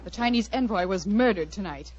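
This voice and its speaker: Actress as prim agitated missionary woman, rapid delivery, muffled vintage radio drama recording. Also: mid-range voice, expressive, lightly acted. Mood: tense, shocked. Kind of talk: 1940s radio acting. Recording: dull 1940s radio recording.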